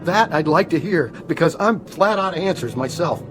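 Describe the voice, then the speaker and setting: An actor playing a skeptical but respectful flight officer in a radio drama, with a questioning, wry delivery. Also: male voice, a little high, lively intonation, normal speed, over music, close to an everyday voice.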